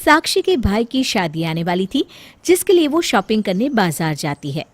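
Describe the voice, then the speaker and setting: A woman storyteller reading a scripted tale in an expressive narrating style. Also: medium pitch, moderate pace, dry recording.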